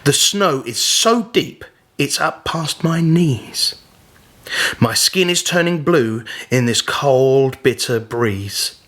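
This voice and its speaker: Man playing a whiny, sulky little boy, slow. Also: medium pitch, lively intonation, fairly bright tone. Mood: miserable. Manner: complaining, sulky, dramatic. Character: discontented, whiny young boy.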